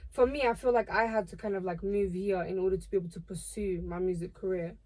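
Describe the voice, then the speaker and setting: A woman's voice: medium pitch, fast. A young woman from Portugal, a singer guesting on a podcast, talking casually with lots of "like" fillers. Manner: relaxed, filler-heavy talk with many "like"s.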